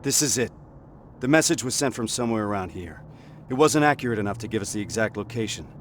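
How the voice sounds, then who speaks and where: A man's voice: fairly low pitch, expressive, fast talker, a little hiss or hum, lightly acted. A man voicing a terse, authoritative resistance sergeant in a video game. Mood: commanding. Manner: authoritative, clipped. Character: commanding male resistance sergeant, steady and terse.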